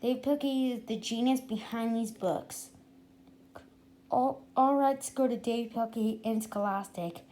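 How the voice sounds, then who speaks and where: A halting narrator reading a children's book aloud, often repeating phrases and slipping into casual asides. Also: medium pitch, fairly even intonation, darkish tone.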